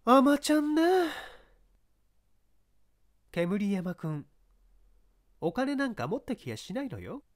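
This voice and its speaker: Sharp, pushy female scout acted by a woman narrator, needling and calculating, very expressive intonation, radio story. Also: mid-range voice, normal speed, natural voice. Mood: pressing, scornful. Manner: insistent, needling, feminine old-fashioned phrasing.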